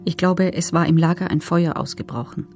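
An actress playing a former female prisoner who gives sober, measured, matter-of-fact testimony in a documentary radio drama. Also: fairly low pitch, steady tone, darkish tone, soft background music, close to an everyday voice. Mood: sober, restrained.

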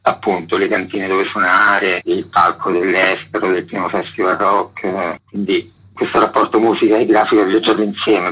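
A man's voice: low-pitched, bright, forceful tone, husky, low-quality recording. A middle-aged man, talking discursively in a casual radio interview, with frequent filler words. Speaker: interview guest, graphic artist.

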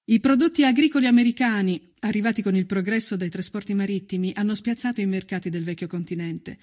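A man narrating a scripted historical account for radio in a measured, literary manner. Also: high-pitched, darkish tone. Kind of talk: scripted dramatic documentary narration.

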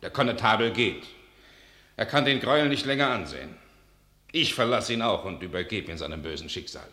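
A man's voice: fairly low pitch, lively intonation, brisk, bright, forceful tone, reverberant. A stern, blunt nobleman. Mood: scornful. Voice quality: stern, reproachful declamation. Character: blunt loyal nobleman and soldier. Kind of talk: classic German radio drama.

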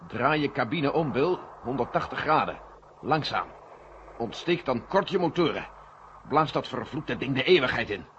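An actor in a 1970 Dutch radio play, playing a grave, weary general who gives clipped commands from mission control. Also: male voice, medium pitch, lively intonation, moderate pace, lightly acted. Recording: dull, very noisy old radio recording.

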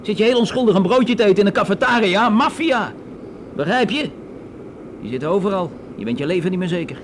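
A blustering, confrontational passenger in a radio drama, with a highish voice that leaps around. His talk is slangy and repetitive, full of paranoid insistence, over loud aircraft cabin noise. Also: animated, brisk. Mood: agitated, insistent. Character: pushy, cagey male passenger spinning paranoid mafia tales. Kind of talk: Dutch radio play acting.

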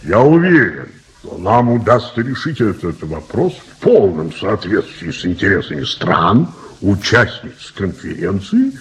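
A man's voice: lowish voice, wildly swooping pitch, a little slow, low-quality recording. An actor playing a courteous, official conference chairman, formal and politely insistent, in a radio play. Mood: formal, assured.

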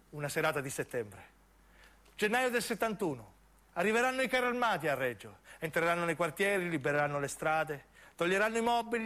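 A man narrates as a stage actor, in intense, deliberate phrases of dramatic radio storytelling. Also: sits high, bright, forceful tone. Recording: piano music under the voice. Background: piano and keyboard music.

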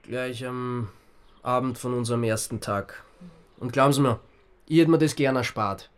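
A man's voice: mid-range voice, fairly even intonation, moderate pace, clean tone, lightly acted. Man playing a glum, dry holidaymaker. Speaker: actor in a radio drama. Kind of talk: radio drama acting.

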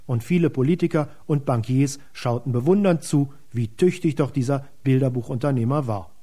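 A man's voice: mid-range voice, normal speed, mellow. A man giving explanatory commentary in a radio documentary.

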